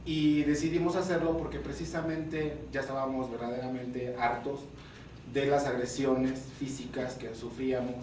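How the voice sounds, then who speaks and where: A man from the port of Veracruz, an activist and group founder, speaking at a panel in a measured, halting way. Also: mid-range voice, little pitch movement, a little mellow, slight background noise. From port of Veracruz, Mexico. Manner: hesitant, many fillers, measured. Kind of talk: panel talk. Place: conference room. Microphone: table microphone, recorded by far camera. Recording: echoey room from far off.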